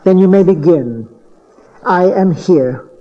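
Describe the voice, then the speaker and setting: A man's voice: high voice, lively intonation, slow. An actor playing a scornful, suspicious, short-tempered king in a Bible audio drama, commanding and sardonic. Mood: cold suspicion. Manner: commanding, sardonic, clipped questioning. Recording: dull cassette tape audio drama.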